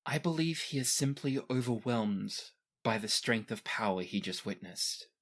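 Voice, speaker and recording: A man voicing a professional female retainer and former adventurer who speaks in a formal, measured, respectful way in a tabletop game. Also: medium pitch, lively intonation, fairly bright tone. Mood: respectful, earnest.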